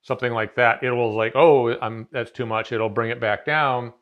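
A man's voice: mid-range voice, fairly even intonation, moderate pace, lo-fi sound. Rambling middle-aged man in a garage workshop. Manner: rambling, many fillers and restarts. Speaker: CNC machine dealer. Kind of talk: casual explanation in a workshop conversation. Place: large home garage workshop.

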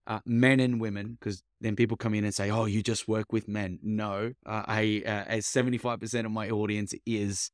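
Guest: young man, grew up in Italy, lowish voice, dry sound, chatty and halting. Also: little pitch movement, no room sound. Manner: rambling, many fillers and restarts. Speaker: mindset coach, podcast guest. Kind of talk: casual interview chat.

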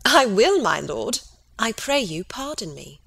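A woman playing a high-voiced, doting queen. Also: sing-song, a little slow, gravelly, a bit bright, husky, full character voice. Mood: fond, gracious. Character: Queen Gertrude, doting mother and gracious queen. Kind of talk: dramatic Shakespeare audiobook reading.

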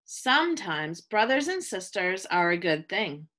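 A woman with a bright, forward voice reads a picture book aloud for young children. She speaks as a teacher would, with expressive phrasing at a medium pitch. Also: measured pace. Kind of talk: children's read-aloud, scripted narration.

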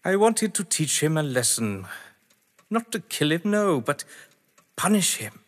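A man's voice: a little high, very animated, measured pace. A man acting in an audio drama as a witty, haughty husband under questioning, verbose, sardonic and theatrical. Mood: smug. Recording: echoey hall-like reverb.

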